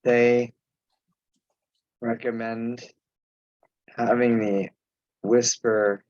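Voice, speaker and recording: Male meeting chair, slow and halting, informal delivery, dry but dull conference-call sound. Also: mid-range voice, flat delivery, a little mellow, no echo. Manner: halting, pausing mid-sentence. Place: remote teleconference.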